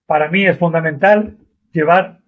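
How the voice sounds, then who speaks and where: A man's voice: a little high, normal speed. A man, a driver being interviewed, speaking in an earnest, emphatic conversational way and repeating himself. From Argentina. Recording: dull lo-fi audio.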